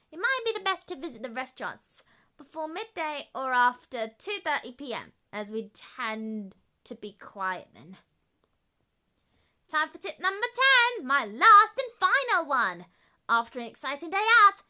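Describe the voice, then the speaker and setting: Very high, bright young female tour presenter. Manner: perky, exclamatory children's presenter delivery. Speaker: junior reporter presenting a park tour. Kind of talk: scripted promotional tour narration.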